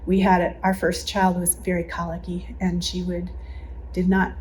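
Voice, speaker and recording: A woman, a longtime church member, telling a personal story in an interview in an earnest, measured way. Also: on the low side, monotone, darkish tone, slight background noise, low-quality recording.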